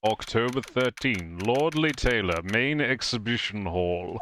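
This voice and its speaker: A man's voice: fairly low pitch, animated, unhurried, pitched below the performer's own voice. A man voicing a dry, kindly defense lawyer in a video game dub, with a mild manner. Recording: game music behind the voice.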